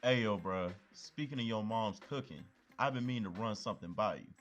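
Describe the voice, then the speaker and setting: A man's voice: fairly low pitch, a little fast, fairly bright tone, lo-fi sound, soft background music, natural voice. A man playing a cheeky young best friend who loves food and talks in loose, slangy banter. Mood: mock-serious. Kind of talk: amateur audio drama acting.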